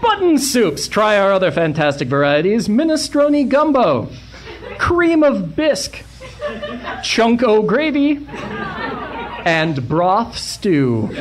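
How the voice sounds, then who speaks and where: A woman playing an old-time radio announcer, declamatory and salesy, in a spoof 1940s style. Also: mid-range voice.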